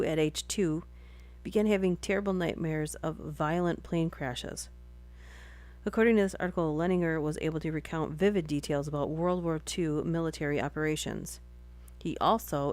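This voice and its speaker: A young woman with a slightly low voice reads articles on a live stream. She drifts into rambling, giggly tangents between passages. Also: a little mellow. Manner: chatty, giggly, rambling asides. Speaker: streamer reading articles aloud. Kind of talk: casual live-stream reading and chat.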